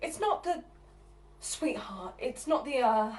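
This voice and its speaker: A woman acting a theatre monologue as a flustered, exasperated partner, halting and repeating herself as she argues. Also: a little high, animated, a little mellow, slight background noise. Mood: flustered, hesitant. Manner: halting, stammering, repeating herself, bursts of agitation. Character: flustered, exasperated woman answering her partner's unwelcome proposal.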